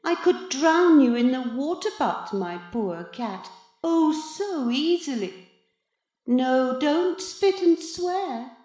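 A woman voicing a smug, gloating cat who has become a boy in a children's story, taunting with drawn-out mock politeness. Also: sits high, expressive, darkish tone, echoey room, soft background music, pitched above the performer's own voice. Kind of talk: children's storybook character reading.

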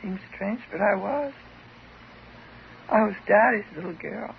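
An American actress playing an intense, unstable psychotherapy patient, theatrical and emotionally volatile, in a 1950s radio drama. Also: female voice, mid-range voice, measured pace, gravelly. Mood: wistful. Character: intense, unstable woman psychotherapy patient in love with her doctor. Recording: dull, hissy 1950s radio recording.

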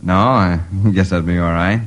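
A man playing a blunt, unimpressed ordinary bystander with a deadpan manner in a 1950s radio comedy sketch. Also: sits low, moderate pace, lightly acted. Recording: very noisy vintage radio recording.

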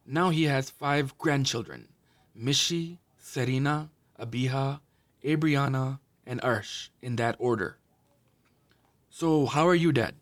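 A man's voice: mid-range voice, takes it slowly. Male podcast host, casual and chatty, friendly questions, mixes in Urdu. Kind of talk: casual interview.

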